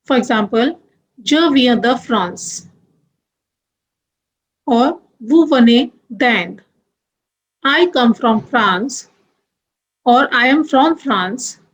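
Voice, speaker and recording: A young woman teaching French grammar, moving deliberately through examples and spelling out letters one by one. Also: a little high, expressive, low-quality recording. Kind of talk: grammar lesson.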